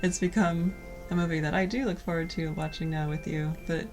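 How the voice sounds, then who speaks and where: A woman from California in her thirties, co-hosting a cozy lifestyle video in a soft, slow, gentle way. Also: lowish voice, steady tone, over music. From California, USA. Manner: soft, gentle, slow delivery with light laughs. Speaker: co-host of a cottage lifestyle channel. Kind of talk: casual scripted chat to camera.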